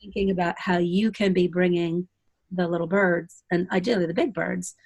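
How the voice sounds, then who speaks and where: A woman's voice: lowish voice, steady tone, normal speed, a little mellow, dry recording. A woman hosting a casual webinar interview, asking questions hesitantly with many fillers and a playful turn. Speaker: host.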